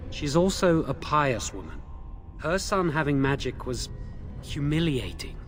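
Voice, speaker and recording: A nervous young game mage, kinda high voice, guilty and twitchy. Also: sing-song, a little slow, a little hiss or hum. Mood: explaining. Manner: anxious, remorseful, pleading. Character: nervous young male mage locked in a dungeon. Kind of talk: video game voice acting.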